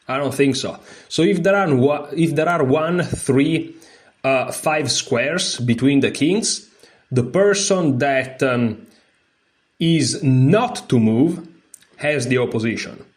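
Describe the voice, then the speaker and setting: Informal man teaching, non-native English speaker. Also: fairly high pitch. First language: not English. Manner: informal, candid, thinking aloud. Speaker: chess teacher and streamer. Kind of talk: casual read-aloud lesson with asides.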